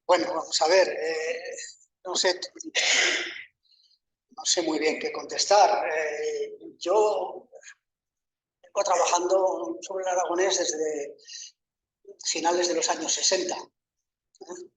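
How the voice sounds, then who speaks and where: A man's voice: fairly high pitch, steady tone, takes it slowly, long pauses, a little hiss or hum. An older man, a university professor, answering a question on a panel in a thoughtful, hesitant way with many fillers and restarts. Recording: echoey room, mic at a distance.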